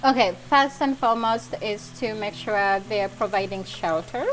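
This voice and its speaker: A woman's voice: medium pitch, moderate pace, fairly bright tone, rough recording. A woman from Uganda with a Ugandan accent, chatting and explaining casually as a guest cook. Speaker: charity staff member, guest cook. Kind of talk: casual cooking chat and explanation.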